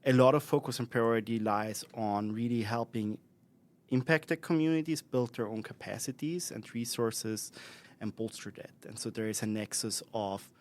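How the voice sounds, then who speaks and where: A man with an Austrian accent talks as an invited expert in a relaxed interview. He speaks at a middling pitch and pace, pausing often with fillers and correcting himself as he works through his points. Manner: thoughtful, with many fillers and restarts. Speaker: guest expert. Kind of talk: conversational podcast interview.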